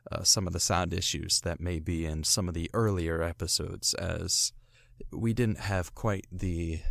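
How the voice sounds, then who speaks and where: Casual man with a low, gravelly creak. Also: sharp tone, dry recording, studio quality. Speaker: host of an audio drama podcast. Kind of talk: casual spoken introduction. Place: small soundproofed recording booth.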